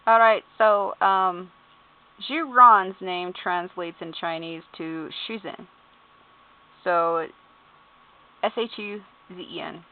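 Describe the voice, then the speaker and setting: A woman's voice: fairly low pitch, takes it slowly, pauses often. Chatty woman with a tinny sound. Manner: amused, chatty, spells out names letter by letter, laughs and swears. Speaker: YouTuber. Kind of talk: casual commentary reading game character bios. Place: at home.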